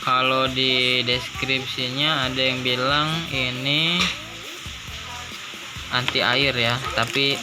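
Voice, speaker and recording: A man's voice: medium pitch, little pitch movement, takes it slowly, pauses often, rough recording. Man, casual product reviewer, informal thinking-aloud delivery, music in the background. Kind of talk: casual unboxing commentary.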